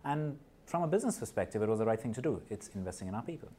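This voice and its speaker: A man's voice: on the low side, big pitch swings, rapid-fire, mellow, breathy edge. A man from Nepal and India, a guest in a podcast interview, speaking earnestly and often restarting and repeating words. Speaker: guest, corporate partner and mental health advocate.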